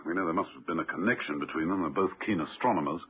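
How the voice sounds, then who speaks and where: Deep-voiced suave British gentleman sleuth. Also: rapid-fire, husky. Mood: cool, wry. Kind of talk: radio drama acting. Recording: muffled old radio recording.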